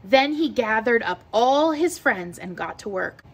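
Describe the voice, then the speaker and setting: A woman reading a children's picture book aloud with expressive, deliberate storytelling delivery. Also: a little high, breathy edge. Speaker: read-aloud teacher.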